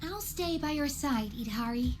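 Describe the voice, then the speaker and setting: A woman's voice: high-pitched, lively intonation, moderate pace. A woman voicing an earnest young girl fascinated by monsters in an anime-style game. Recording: loud game music behind. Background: game music.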